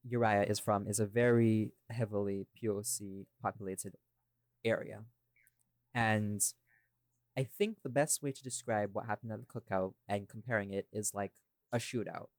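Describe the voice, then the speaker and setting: A man's voice: mid-range voice, no room sound. A man hosting a casual podcast, explaining his creative choices emphatically and speaking straight to his listeners. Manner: emphatic, addresses listeners directly. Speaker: podcast host and audio drama creator.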